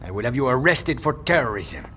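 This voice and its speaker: An actor playing a stern, indignant peacekeeping commander in a video game, speaking forcefully. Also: male voice, medium pitch, very animated, a little fast, slight background noise. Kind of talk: video game cutscene acting.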